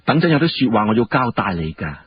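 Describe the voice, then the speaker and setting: A man's voice: lowish voice, very animated, quick pace, highs cut off, slight background noise. A man voicing a courteous, genial young court minister in a Cantonese story, explaining things politely. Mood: humble, respectful.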